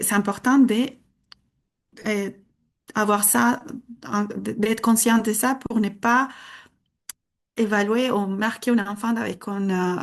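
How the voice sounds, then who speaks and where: Woman presenting. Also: medium pitch. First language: Spanish. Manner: earnest, explanatory, hesitant. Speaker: conference speaker. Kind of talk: conference presentation.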